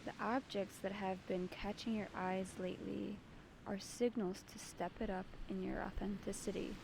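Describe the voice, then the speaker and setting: A woman leading a guided meditation as its host, giving soothing, deliberate instructions in short phrases. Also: mid-range voice, level tone, vocal fry, background noise.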